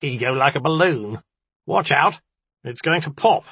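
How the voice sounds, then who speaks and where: A man's voice: fairly high pitch, expressive, a little slow, slightly rough, dry recording, voice pushed up, full character voice. A man voicing a spiny rat-spirit in a storybook, sly, mocking and darkly gloating. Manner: chuckling, gloating, dark.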